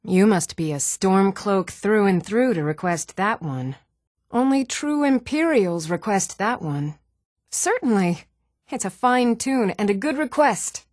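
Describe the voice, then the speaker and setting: An actress voicing an even-toned young Nordic townswoman and warrior in a fantasy video game, measured and clearly spoken. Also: medium pitch, no room sound, clean studio sound. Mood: matter-of-fact, helpful. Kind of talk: scripted video game dialogue.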